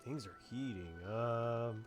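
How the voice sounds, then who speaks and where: A man giving casual, reactive commentary as he plays a game, halting and repeating his words. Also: medium pitch, over music. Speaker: streamer playing a game.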